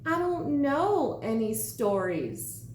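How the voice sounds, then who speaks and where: A woman's voice: sits high, very animated, takes it slowly, darkish tone, voice pushed up, full character voice. A woman voicing a cowed, hesitant male pig in a children's storybook, meek and weary. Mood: weary, whimpering. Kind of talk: children's storybook read-aloud. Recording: echoey room, faint background noise.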